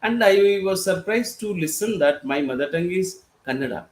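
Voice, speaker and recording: An Indian man with a fairly high voice speaks on a panel, slowly and haltingly, with many fillers and restarts. His pitch rises and falls in a lively way. The room around him sounds echoey. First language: Gorboli. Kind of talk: panel discussion talk.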